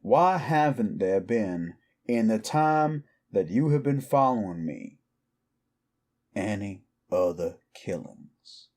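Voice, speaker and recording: Slow, low-voiced cowboy outlaw, acted by a man. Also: lively intonation, darkish tone. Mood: sardonic, grave. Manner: slow, deliberate, goading, rhetorical questions. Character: cowboy outlaw werewolf, taunting, brooding, defiant. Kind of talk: intimate roleplay audio drama acting.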